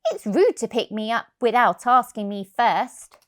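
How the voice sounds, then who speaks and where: An indignant storybook cat, played by a woman, emphatic and easily affronted. Her stubborn, aggrieved delivery swings widely in pitch. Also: expressive, measured pace.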